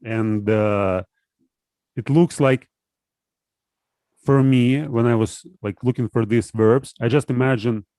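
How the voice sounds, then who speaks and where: Man, halting and hesitant, casual discussion, medium pitch, dry room sound. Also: steady tone, mellow, no echo. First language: Russian. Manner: hesitant, halting, searching for words.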